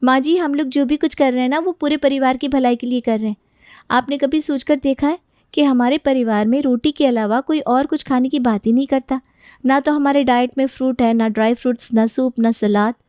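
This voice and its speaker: A woman voicing a feisty, argumentative young daughter-in-law in an animated family story, lecturing in an exasperated manner. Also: sits high, fairly quick, no echo, studio quality, close to an everyday voice. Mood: firm.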